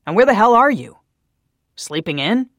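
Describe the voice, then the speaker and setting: A man's voice: medium pitch, a little fast, no echo, studio quality, close to an everyday voice. A reader voicing a frustrated male coworker who scolds and swears, his voice rising as he pushes harder. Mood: angry. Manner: scolding, swearing, growing louder.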